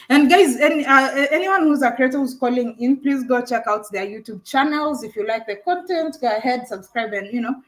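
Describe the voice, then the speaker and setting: A woman's voice: fairly high pitch, rough recording. A woman hosting a live stream, chatting casually with a caller and laughing often while she unboxes a camera.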